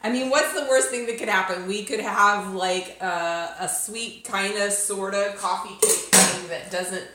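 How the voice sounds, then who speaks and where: A woman's voice: mid-range voice, fairly even intonation, measured pace, sharp tone, far from the mic. A middle-aged woman hosting a casual, teasing chat in her home kitchen. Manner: bubbly, teasing. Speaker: channel host. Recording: very echoey kitchen.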